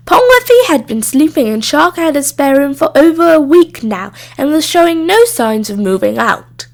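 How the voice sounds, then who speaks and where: A woman reading a children's story aloud as expressive scripted narration. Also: on the high side. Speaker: storybook reader.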